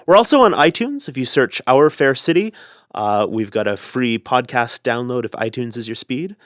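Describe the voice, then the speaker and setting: A man speaking as a podcast guest, giving casual, somewhat halting announcements and chat. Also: medium pitch, lively intonation, no room sound, studio quality. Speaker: interview guest.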